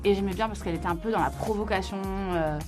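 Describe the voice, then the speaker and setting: A woman's voice: medium pitch, quick pace. A woman of 31 from Morocco and southern France, chatting casually in a slangy, teasing way as an interviewee. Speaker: interviewee in a couple's question game. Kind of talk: casual chat. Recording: music bed behind the voice.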